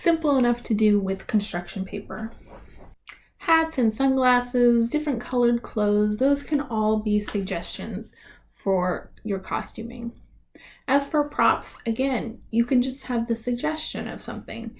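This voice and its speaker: A woman presents a how-to for families in a fairly high voice. She goes slowly, enunciating each word with deliberate care. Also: lively intonation. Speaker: presenter of a library reader's theater tutorial.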